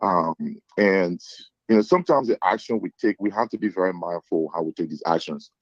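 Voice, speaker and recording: A man from Liberia with a Liberian accent, speaking as a guest in measured, thoughtful commentary with hesitant restarts. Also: deep voice, no room sound, muffled recording. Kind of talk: reflective call-in commentary.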